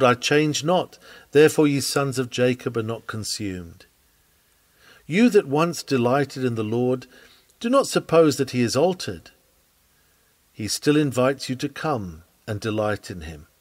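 A man reading an old-fashioned sermon aloud in an earnest, declamatory preaching manner. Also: medium pitch. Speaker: sermon reader.

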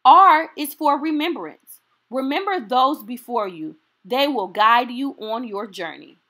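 Slow, lilting high-voiced woman reading aloud. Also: lively intonation, bright, forceful tone. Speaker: storytime host reading aloud. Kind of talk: children's picture book read-aloud.

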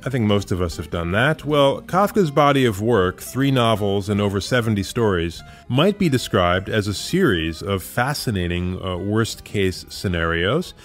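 A man's voice: lowish voice, animated, moderate pace, hardly any pauses. Man hosting an audio drama podcast over music. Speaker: podcast host and producer. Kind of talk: scripted host introduction to an audio drama.

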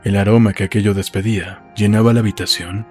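A man's voice: on the low side, level tone, quick pace. A man narrating a novel as a scripted literary audiobook. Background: faint music.

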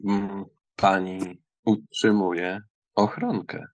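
A man acts a young German count in an audiobook drama. He speaks slowly, with polite irony and a measured, wry manner. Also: on the low side, level tone.

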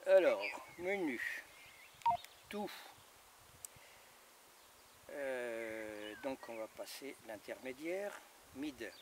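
Male radio hobbyist, fifties, casual and halting, recorded at a distance in noisy open air. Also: mid-range voice, animated. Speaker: amateur radio operator. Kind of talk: casual radio check chat. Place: outdoors in a gravel yard by a house. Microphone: camera a few metres away.

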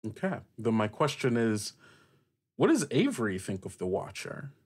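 A man's voice: medium pitch, sing-song, normal speed, a little mellow, breathy edge. A man hosting a tabletop roleplaying game as game master, improvising descriptive narration and putting questions to the player.

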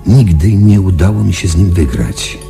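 An actor playing a shaken young space patrol pilot, confiding his story in a halting, dramatic radio-play delivery. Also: deep voice, animated, vocal fry, warm tone, soft background music. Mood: tense, hushed.

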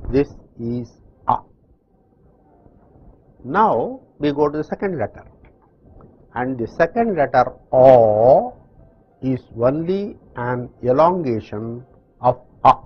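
An older man from South India with a South Indian accent, teaching in slow, deliberate steps in front of a chalkboard. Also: medium pitch, husky, dull audio. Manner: slow, deliberate explanations with pauses. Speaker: language teacher. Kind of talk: slow classroom instruction. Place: classroom with chalkboard.

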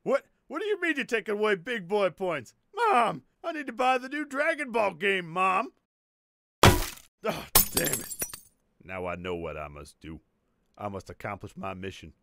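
A man's voice: low-pitched, animated, a little slow, long pauses, heavy background noise. A man voicing a childish, sleazy slacker in a comedy cartoon, theatrical and prone to whiny outbursts and grandiose villain talk. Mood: whiny outrage, then grim resolve.

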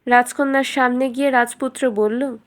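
A woman tells a children's fairytale as a narrator. Her voice is fairly high, and she reads in a scripted storytelling style. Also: steady tone, normal speed.

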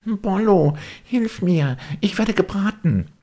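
A man voicing a small, anxious, excitable wooden puppet boy in a children's storybook reading. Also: high-pitched, takes it slowly, voice pushed up, heavily acted. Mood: pleading. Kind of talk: children's audiobook character voice.

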